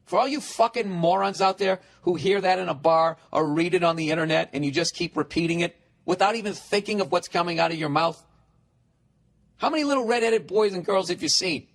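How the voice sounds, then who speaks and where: 40s guy ranting on his podcast, high voice, swears nonstop, sarcastic. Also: a little fast, vocal fry. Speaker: podcast host. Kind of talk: solo comedic rant.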